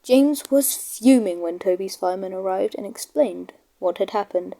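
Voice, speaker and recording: A woman's voice: medium pitch, normal speed, vocal fry, dark tone. A woman narrating a children's storybook from a script.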